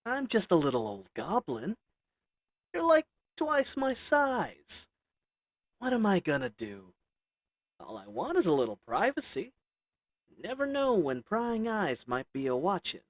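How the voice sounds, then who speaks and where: A man's voice: sits high, huge pitch swings, unhurried, pauses often, no room sound, full character voice. A man voicing a sly little old goblin merchant who sells shady goods, talking in a wheedling folksy drawl.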